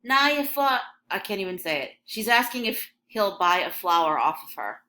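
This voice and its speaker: Bright-voiced chatty woman teacher, slight Michigan accent. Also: medium pitch, very animated, moderate pace. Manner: chatty and informal, lots of ums and likes. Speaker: English teacher. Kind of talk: recorded classroom lesson over a scrolling text.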